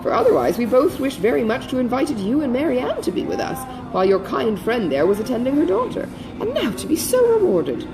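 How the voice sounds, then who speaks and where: A woman reading a classic novel aloud, voicing a pompous, long-winded gentleman full of solemn grievance. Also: a little high, wildly swooping pitch, brisk, hardly any pauses, music in the background, low-quality recording. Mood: aggrieved, indignant. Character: pompous, self-righteous married gentleman, solemnly aggrieved brother.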